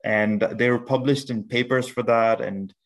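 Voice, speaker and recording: A man from Pakistan in his thirties, a podcast guest telling his life story in a measured way with many restarts. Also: medium pitch, fairly even intonation. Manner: measured, with frequent restarts and fillers. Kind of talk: reflective storytelling interview.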